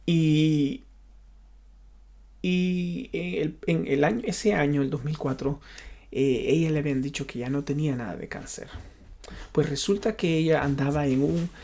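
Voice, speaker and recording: A man from Honduras with a Honduran Spanish accent, a pastor giving an unscripted personal testimony, often halting and repeating himself as he searches for words. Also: a little high, mellow.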